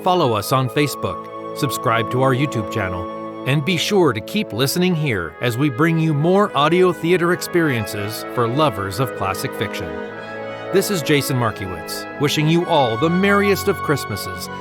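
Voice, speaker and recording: A male narrator reads a dramatized story in a measured, scripted style. His pitch is medium, and music plays clearly behind him. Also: very animated.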